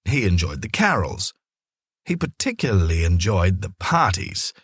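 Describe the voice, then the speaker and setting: A man narrates a scripted story in an expressive, measured way. His voice sits fairly low with a husky grain and moves through lively intonation. The sound is very dry. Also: dry recording. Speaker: storyteller, audiobook reader.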